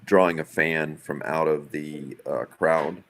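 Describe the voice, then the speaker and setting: A man with a low voice speaks in a casual work meeting. He goes haltingly, catching on the first sounds of words and starting them again as he thinks through plans. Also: fairly even intonation, a little hiss or hum. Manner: hesitant, halting, repeats word starts. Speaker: live event producer planning a show. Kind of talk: casual business meeting talk.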